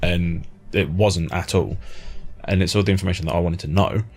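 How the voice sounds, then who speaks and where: A man's voice: low-pitched, fairly even intonation, normal speed, husky, soft background music. A British man interviewing someone in an informal, chatty way, like a fellow fan. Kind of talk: casual chat.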